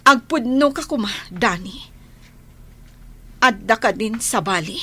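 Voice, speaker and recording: High-pitched Ilocano radio drama heroine. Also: female voice, lively intonation, takes it slowly, sharp tone, breathy edge, exaggerated voice. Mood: hurt, pleading. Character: loving, trusting overseas worker, older sister and girlfriend. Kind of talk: Filipino radio drama acting.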